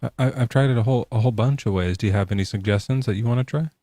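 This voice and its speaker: A man's voice: medium pitch, very fast, warm tone, no room sound, studio quality. A male podcast host talking casually from his garage in a jokey, loose way, with plenty of swearing. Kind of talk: casual debate chat. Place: home garage.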